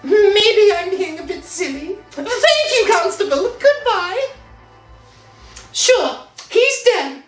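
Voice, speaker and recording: Very high-pitched anxious young lady. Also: sing-song, slow pace, heavily acted. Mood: polite, anxious. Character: anxious, placating young woman. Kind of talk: old-time radio drama acting. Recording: echoey, faint music underneath.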